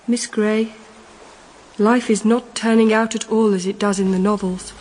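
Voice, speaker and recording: A woman's voice: mid-range voice, fairly even intonation, unhurried, darkish tone, slight background noise, natural voice. An actress playing a bored, spoilt young wife reading her letter aloud, petulant and melodramatic. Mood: petulant, pleading. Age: young adult. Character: Lady Ashby, bored, spoilt, imperious young aristocratic wife. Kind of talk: period drama letter reading in character. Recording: long hall-like reverb.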